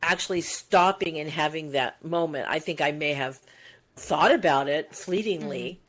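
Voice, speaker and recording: Low-voiced woman in her sixties musing. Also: moderate pace, fairly bright tone. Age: 60s. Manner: reflective, musing, talks in long winding sentences. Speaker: podcast co-host. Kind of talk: casual conversational chat.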